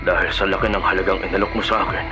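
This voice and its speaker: An actor playing a hired killer and family man in a Filipino radio drama, speaking gravely and deliberately. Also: low-pitched, steady tone, music in the background, close to an everyday voice. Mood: cold, businesslike.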